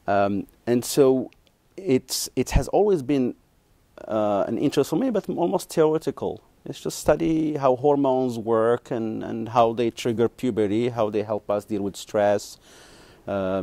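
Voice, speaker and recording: Gravelly low-voiced man from Morocco. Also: warm tone, no room sound. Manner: hesitant, many ums and restarts. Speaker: neuroscience professor, guest. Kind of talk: interview answers.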